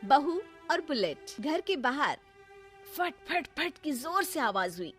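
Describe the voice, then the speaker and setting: Female cartoon narrator: unhurried pace, fairly high voice, scripted storytelling over faint music. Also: very animated, a bit bright.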